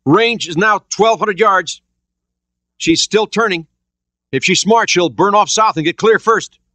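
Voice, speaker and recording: Man doing an urgent young sonar operator, high raised voice, quick bursts. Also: bright, forceful tone, dry recording, exaggerated voice.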